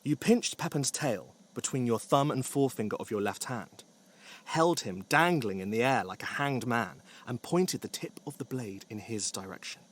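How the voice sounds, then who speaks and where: A man's voice: medium pitch, expressive, moderate pace. A man narrating a scripted story with wry, dry humour.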